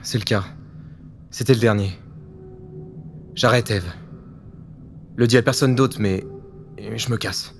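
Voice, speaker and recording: A man's voice: on the low side, steady tone, slow, gravelly, long pauses, a little hiss or hum. A man playing a grave, resolute and vengeful secret agent on his last mission in a French audio drama. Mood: weary, resolved. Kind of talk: French audio fiction acting.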